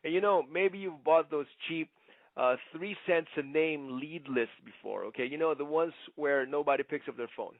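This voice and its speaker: A man giving an instructional sales presentation like a trainer, conversational but emphatic, often checking in with a quick "okay?". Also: fairly high pitch, no room sound. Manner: conversational, punctuates points with "okay?". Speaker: network marketing trainer. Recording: muffled, narrow phone-like sound.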